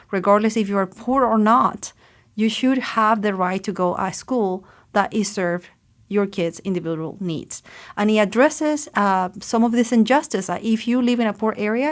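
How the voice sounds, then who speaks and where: A woman from Chile in her thirties, speaking as a guest on an interview podcast with emphatic passion. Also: medium pitch. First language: Spanish. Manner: passionate, emphatic, with some restarts.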